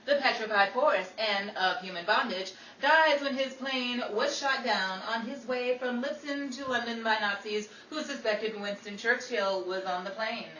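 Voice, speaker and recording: A woman's voice: a little high, normal speed, hardly any pauses, bright, forceful tone. A woman playing a showy 1940s Hollywood radio newscaster, reading scripted trivia in a theatrical style. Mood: presentational. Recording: roomy, distant mic with faint noise.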